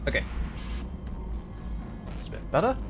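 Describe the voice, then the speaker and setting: A man casually commenting while he plays a video game on stream, his voice a bit scratchy today, reading warning signs aloud with light jokes. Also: unhurried. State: slightly scratchy voice. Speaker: gaming streamer. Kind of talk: casual let's-play commentary. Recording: game music behind voice.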